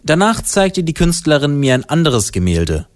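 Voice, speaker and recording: A man's voice: a little high, big pitch swings, normal speed, no echo. A man narrating a scripted radio report as the reporter.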